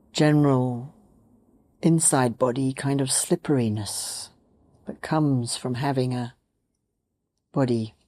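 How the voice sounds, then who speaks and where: Very low, slow woman guiding meditation. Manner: gentle, sparse phrases with long pauses. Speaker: meditation teacher. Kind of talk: guided meditation.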